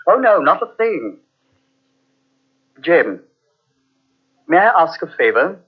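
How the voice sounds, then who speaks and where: Brief: an evasive host, slightly high voice, calm and reassuring; muffled old radio sound. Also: male voice, slow, husky, full character voice. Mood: guarded. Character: secretive male host brushing off fears. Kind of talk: 1940s radio acting. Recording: dull old radio transcription.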